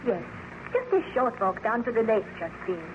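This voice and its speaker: An actress playing a gentle, anxious baroness, earnest and formal in an old radio drama style. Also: female voice, a little high, big pitch swings, moderate pace. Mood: gentle instruction. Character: gentle, anxious baroness, devoted wife soothing her husband. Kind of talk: 1930s radio drama acting. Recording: muffled, noisy old-time radio recording.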